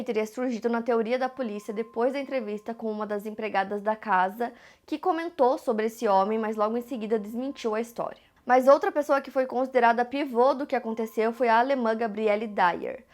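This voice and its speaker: A Brazilian woman steadily narrating a true crime case from a script. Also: on the high side. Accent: Brazilian Portuguese. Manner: steady, even retelling of events.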